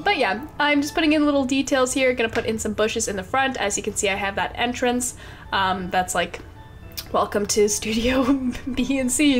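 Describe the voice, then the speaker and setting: A woman's voice: fairly high pitch, a bit bright. Young woman, stuffy nose from a cold, chatty and rambling, giggly, faint music underneath. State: congested with a cold. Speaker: Sims speed-build creator. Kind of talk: casual voice-over narration of a game build.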